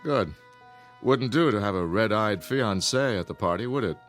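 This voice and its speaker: Stern patrician father for radio drama, played by a man: middle-aged, low voice, formal authority, music underneath. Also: lively intonation, normal speed, lightly acted. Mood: calm, coaxing. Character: stern, wealthy, protective father of a grown daughter.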